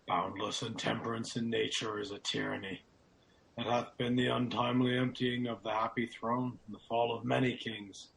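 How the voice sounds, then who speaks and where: A man playing a loyal, despairing nobleman in a Shakespearean radio drama, speaking in a solemn, declamatory way with a gravelly voice. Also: medium pitch, little pitch movement, normal speed, a bit bright, rough recording. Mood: grave, reasoning. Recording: clearly audible background noise.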